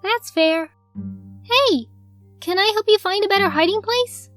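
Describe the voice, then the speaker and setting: A very high, childlike voice of a ghostly young filly, as a woman puts her on, sweet and secretive in a horror storybook reading. Faint music plays underneath. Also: a little slow, fairly bright tone. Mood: eerie sweet.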